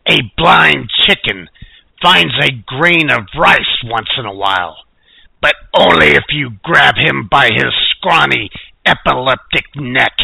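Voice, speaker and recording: Very old German crank for radio comedy: heavy gravel, forceful edge, slow sour delivery. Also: male voice, mid-range voice, dry recording, full character voice, low-quality recording. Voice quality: gravelly, raspy. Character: ancient gruff German man dispensing cranky, violent proverbs.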